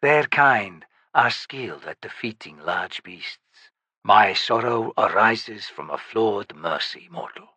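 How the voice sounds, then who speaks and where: A man voicing an ancient, sorrowful undead male shaman in an audiobook, speaking slowly and gravely. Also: fairly low pitch, lively intonation, bright, forceful tone, no room sound, exaggerated voice. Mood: solemn sorrowful. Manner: slow, solemn, measured.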